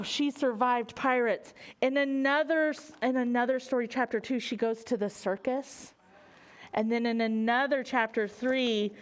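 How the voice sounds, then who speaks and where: An American woman reading a picture book aloud to young children, expressively and with questions for them along the way. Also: medium pitch. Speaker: children's library storyteller.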